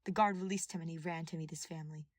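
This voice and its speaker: Husky woman narrating fiction. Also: low-pitched, fairly even intonation, quick pace, dry recording. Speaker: audiobook narrator. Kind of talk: scripted dramatic fiction narration.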